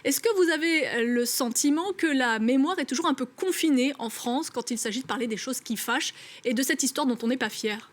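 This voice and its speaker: A woman interviewer with a high voice asks prepared questions in a measured, formal tone.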